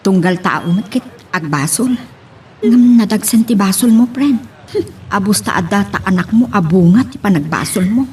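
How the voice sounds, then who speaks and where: A woman's voice: medium pitch, lively intonation, normal speed, a little mellow, lightly acted. A woman playing a frank, supportive friend in an audio drama.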